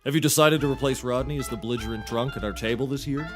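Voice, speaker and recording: Male actor as wry comedy gumshoe: medium pitch, dry and articulate, light music underneath. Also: fast, lightly acted. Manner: dry, wordy, teasing. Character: wry, articulate, sensible private eye colleague. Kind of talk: comedic mock-noir dialogue.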